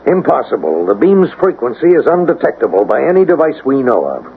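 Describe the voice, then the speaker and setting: An American actor playing a firm, skeptical army general in a radio drama, with brisk, authoritative military delivery on an old broadcast. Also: male voice, medium pitch, big pitch swings, vocal fry. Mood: commanding, confident. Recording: noisy, narrow-band old radio broadcast.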